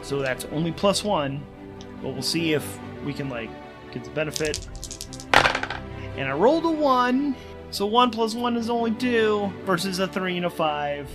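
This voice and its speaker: A man's voice: high-pitched, lively intonation, unhurried. A man working as game master and host of a solo tabletop game, thinking aloud as he narrates rules and story. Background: music.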